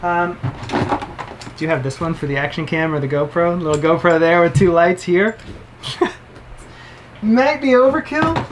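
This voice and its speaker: A man's voice: high-pitched, measured pace, pauses often, a little hiss or hum. A man in his thirties casually reviewing camera gear to the camera, chatty and upbeat, explaining as he handles each item in a boat cabin. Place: cabin of a sailboat, indoors.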